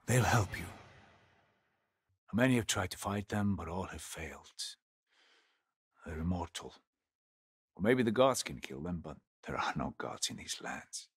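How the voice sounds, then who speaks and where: A man voicing a grave, weary Norse guide in a video game, solemn and portentous, with a husky, breathy voice. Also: deep voice, animated, heavily acted. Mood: grave, foreboding. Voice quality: husky, breathy, often dropping to a whisper. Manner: solemn, deliberate, portentous delivery. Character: grave, weary male seer and guide of a haunted Norse land. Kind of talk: dramatic video game dialogue.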